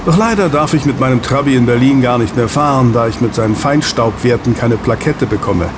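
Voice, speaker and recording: An actor in an audio drama playing a friendly ex-agent who runs a snack bar, soothing and chatty. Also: male voice, medium pitch, a little fast, lightly acted. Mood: proud, chatty. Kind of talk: German audio drama dialogue. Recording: clear street and traffic ambience. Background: outdoor city street with vehicles.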